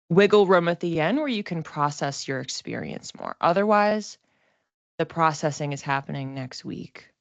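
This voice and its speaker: A woman giving a casual, informal tarot reading as a psychic, reflective and halting, often giggly as she talks. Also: deep voice, no echo, lo-fi sound.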